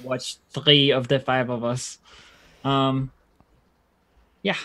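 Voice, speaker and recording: A man's voice: medium pitch, little pitch movement, slow pace, bright, forceful tone. A man with a mid-range voice chats on a game stream. He speaks in a laid-back, joking manner. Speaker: regular player. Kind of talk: casual chat.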